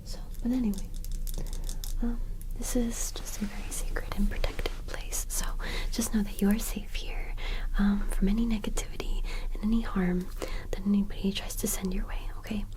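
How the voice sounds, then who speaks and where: A woman speaks in a soft, breathy whisper, as in an ASMR roleplay. Her manner is gentle and reassuring, with casual, slightly hesitant phrasing as she guides the listener along. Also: mid-range voice, steady tone, normal speed, poor audio. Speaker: ASMR performer playing a witch doing an energy cleanse.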